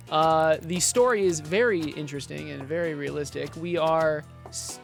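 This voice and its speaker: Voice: mid-range voice, creaky, a bit bright. A game developer giving casual gameplay commentary, explaining things step by step and correcting themselves along the way. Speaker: game developer demoing own game.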